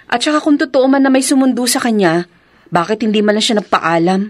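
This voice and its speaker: Puzzled young wife played by an actress, polite, high voice, dry close sound, radio drama. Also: female voice, steady tone, a little fast, dry recording, natural voice. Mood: puzzled, worried. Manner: questioning, polite. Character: puzzled young wife, respectful to her mother.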